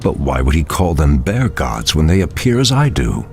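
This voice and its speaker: A man playing a grave, proud night elf archdruid in a fantasy game, gravelly and solemn, with archaic, commanding speech. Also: a bass voice, very animated, normal speed, heavily acted. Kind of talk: dramatic fantasy game acting. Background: music.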